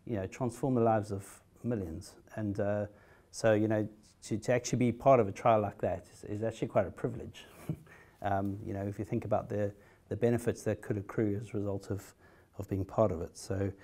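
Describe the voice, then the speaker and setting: A middle-aged man with a South African accent speaks at a conference, pausing often with fillers and restarting his sentences. His voice sits fairly low and sounds dark and mellow. He keeps a dry, wry humor running through the talk. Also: steady tone. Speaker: patient speaker.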